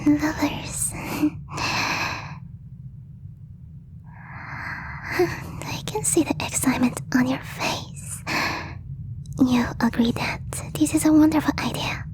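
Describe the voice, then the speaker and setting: A woman voicing a possessive, lovestruck alien girl in a breathy whisper, with slow, stiffly formal phrasing for an ASMR roleplay. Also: high-pitched. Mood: affectionate, coy. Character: possessive lovestruck alien girl, stilted speech, shyly obsessive.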